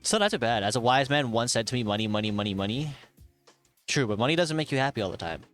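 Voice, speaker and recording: Young man, streamer, casual chat, mid-pitched voice, swears a lot, faint music underneath. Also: animated, quick pace, a bit bright. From Thai heritage. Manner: swears often, laughs, reads chat aloud.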